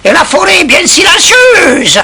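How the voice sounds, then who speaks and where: A man voicing an excitable, vain, grumbling parrot in a French cartoon dub, with a shrill squawking delivery and theatrical indignation. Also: measured pace, raised voice, full character voice. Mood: indignant grumbling.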